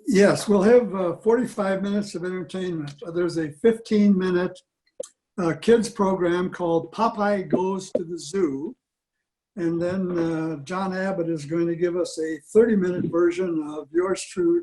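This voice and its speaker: High, mellow-voiced man. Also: a little slow. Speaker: club member presenting programs. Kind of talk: informal announcement. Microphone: video call. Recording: noisy video-call audio with clicks.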